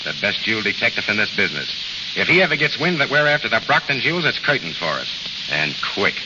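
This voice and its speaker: A low-pitched American crime boss from an old radio play, terse and bossy, with a widely swinging delivery. The sound is a muffled, noisy vintage broadcast. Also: male voice, sing-song, quick pace, in a large hall. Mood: stern, commanding. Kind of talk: 1940s radio drama acting.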